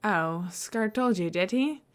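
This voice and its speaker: A woman's voice: medium pitch, lively intonation, slow pace. A woman reading the part of a nervous, reluctant ordinary man in a superhero audio story. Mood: accusing.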